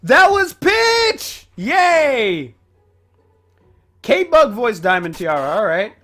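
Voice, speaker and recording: A man hosting a casual reaction video, giving energetic, exclamatory fan commentary. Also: very high-pitched, drawn-out words, faint music behind.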